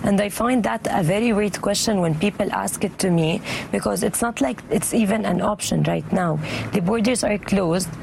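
A woman in her twenties from Gaza, a native Arabic speaker, answering interview questions in an earnest, measured way. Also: mid-range voice, vocal fry, darkish tone, slight background noise, poor audio. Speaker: author and journalist interviewee. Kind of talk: poetry reading and interview answers.

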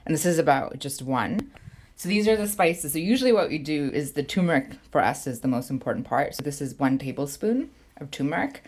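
A woman's voice: low voice, animated, brisk, darkish tone. A middle-aged woman from Canada, born in Sri Lanka, talking casually as an interview guest and explaining things in an informal way. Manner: informal, explanatory, frequent 'like' hedging. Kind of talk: casual chat and cooking walkthrough.

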